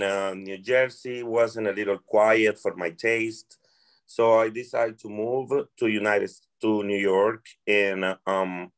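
Slow-spoken man with a Colombian Spanish accent. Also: lowish voice. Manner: slow, careful, searching for words in English. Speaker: restaurant worker guest. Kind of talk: interview conversation.

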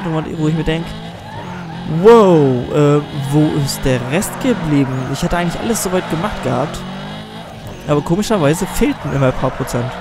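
Teen let's-play gamer, slightly high voice, loose rambling commentary, mild cold, game music underneath. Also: male voice. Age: teens. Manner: rambling, chatty, self-deprecating. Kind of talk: casual gameplay commentary. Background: game music and car sounds.

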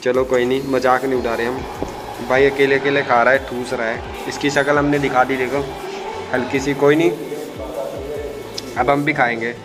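A man chats casually and jokes at his friend's expense while filming a food vlog on his phone in a small restaurant. His voice sits at an ordinary middle pitch. Music plays clearly in the background. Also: little pitch movement, normal speed, pauses often. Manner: chatty, teasing, mixes a little English. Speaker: food vlogger.

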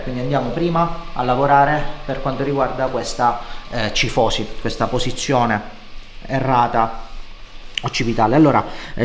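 Reassuring male chiropractor in a roomy space. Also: medium pitch. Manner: reassuring, checks in with short questions. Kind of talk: explaining a treatment to a patient and viewers. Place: living-room-like treatment room indoors. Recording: roomy echo, faint background noise.

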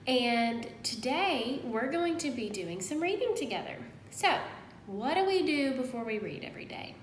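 A woman in her thirties, a kindergarten teacher giving an encouraging read-aloud lesson to young children, pausing after questions. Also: animated. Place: indoors at home, small room. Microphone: phone at arm's length. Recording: roomy echo, faint noise and rumble.

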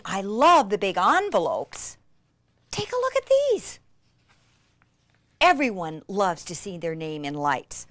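A woman teaches a craft with gushing, breathless delight. Her intonation swoops up and down while the pace stays leisurely, often dipping into breathy, near-whispered asides. The sound is dry and close. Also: medium pitch, dry recording. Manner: excitable, gushing, with breathy gasps and exclamations. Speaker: craft instructor. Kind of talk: enthusiastic hands-on craft demonstration.

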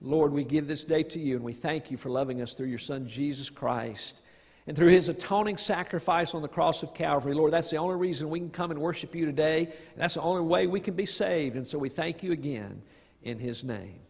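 A middle-aged man, a pastor, reading scripture and praying in public with solemn, deliberate phrasing. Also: a little high, creaky, a little mellow, breathy edge. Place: large church sanctuary, in front of congregation. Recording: echoey church hall, long reverb.

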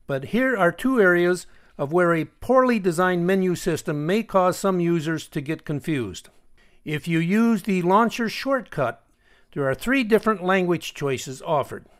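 Guy with a pretty high voice doing a screen tutorial, explains stuff carefully, stresses his points. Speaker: software tutorial host. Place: voice-over for a screen recording.

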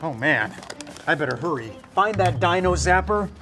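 An actor in his forties playing a bumbling, excitable park ranger in a children's live-action show, recorded outdoors. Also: moderate pace, lightly acted. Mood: cheerful. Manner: goofy, excitable, lively pitch. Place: outdoors in dry woodland. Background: music.

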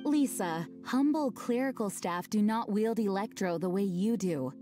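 A woman voicing a composed, responsible knight leader in a game, firm and measured. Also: medium pitch, animated, dark tone, soft background music, natural voice. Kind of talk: game voice acting.

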